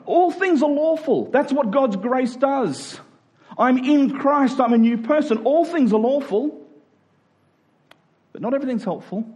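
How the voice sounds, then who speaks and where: A man's voice: high voice, darkish tone. A man preaching a conversational sermon, emphatic and rhetorical, often voicing imagined objections.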